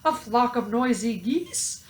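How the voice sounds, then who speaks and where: A woman's voice: fairly high pitch, expressive, takes it slowly. A woman doing a flustered cow driver. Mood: puzzled. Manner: breathless, panicky. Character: flustered cow driving a pickup truck. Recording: echoey room.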